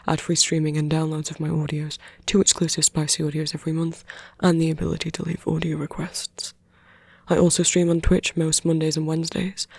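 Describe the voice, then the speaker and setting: An audio roleplay creator speaking plainly in an even, scripted outro to listeners. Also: mid-range voice, creaky, slightly rough, dry recording. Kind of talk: scripted outro with thanks to supporters.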